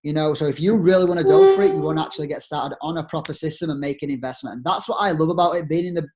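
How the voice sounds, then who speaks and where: A young man with a broad Yorkshire accent giving an upbeat, persuasive sales pitch to network marketers over a screen share, using plenty of rhetorical questions. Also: a little mellow. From Yorkshire, England.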